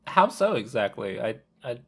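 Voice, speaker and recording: The husky-voiced guy on a casual group podcast, a man talking among others at an ordinary pitch. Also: sing-song, normal speed. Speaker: podcast panelist. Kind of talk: casual discussion.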